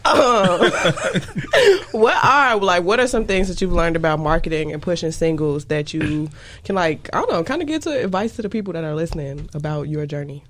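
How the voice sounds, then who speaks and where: Female radio host, lowish voice with animated swings, playful slang, conversational questioning. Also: moderate pace. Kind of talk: casual radio interview hosting.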